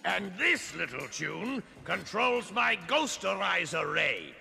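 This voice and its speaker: An actor playing a menacing skeletal robot ghost overlord in a cartoon, snarling and taunting in a grandiose, theatrical way. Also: male voice, high voice, sing-song, slow pace, full character voice. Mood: gloating menace. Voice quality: gravelly, snarling. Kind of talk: 1980s cartoon villain acting. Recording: long reverb, faint music behind.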